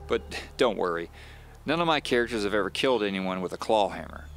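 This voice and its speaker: A man's voice: on the low side, lively intonation, quick pace, creaky, a bit bright, a little hiss or hum. A man playing a polite, wry thriller novelist in an audio drama, courteous and dryly humorous. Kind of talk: audio drama first-person storytelling.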